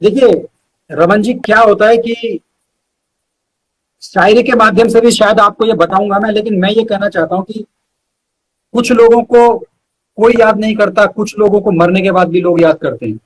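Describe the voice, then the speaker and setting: A man speaking as a guest poet in a live interview, reciting verse and explaining it, emphatic and repeating lines deliberately. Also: high-pitched, poor audio.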